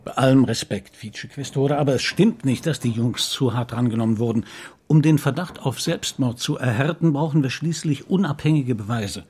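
An actor playing a tactful, sceptical police detective in a radio crime drama, measured and politely insistent. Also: male voice, mid-range voice, darkish tone, breathy edge, natural voice. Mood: polite, guarded. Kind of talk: understated radio play acting.

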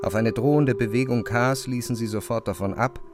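A male narrator tells a literary story in a middle-register voice. His delivery is measured and deliberate, and music plays clearly underneath. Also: mid-range voice, steady tone.

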